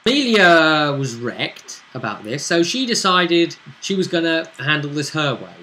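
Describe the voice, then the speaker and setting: A man's voice: fairly high pitch, very animated, measured pace, pushed and bright. A man hosting as game master, chatting casually as he recaps a tabletop roleplay campaign. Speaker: game master and show host.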